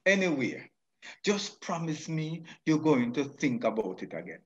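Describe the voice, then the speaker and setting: Man acting an animated old Jamaican suitor. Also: a little high, a little slow, dull audio. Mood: coaxing. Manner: animated, coaxing. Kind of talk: radio drama acting.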